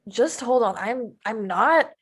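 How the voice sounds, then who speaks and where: A woman reads a wary teenage girl who questions and resists every plan put to her. Her voice sits a little high. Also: lively intonation, slow, husky, exaggerated voice. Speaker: actor in a table read. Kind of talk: table read acting.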